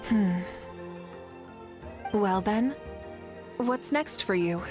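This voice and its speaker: A woman playing a composed, confident female warrior leader in a video game, speaking in a measured, courteous way. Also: medium pitch, animated, over music. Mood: calm, amused.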